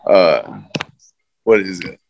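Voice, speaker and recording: A man's voice: low voice, big pitch swings, takes it slowly, gravelly, a little mellow, slight background noise. A man in his thirties, a former pro football player, chatting casually as an interview guest with a loose, laid-back manner and lots of fillers.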